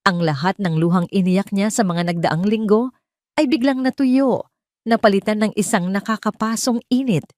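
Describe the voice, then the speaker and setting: A woman's voice: mid-range voice, moderate pace, darkish tone, no room sound, clean studio sound. A woman with a Filipino accent narrates a scripted dramatic story as an audio drama storyteller.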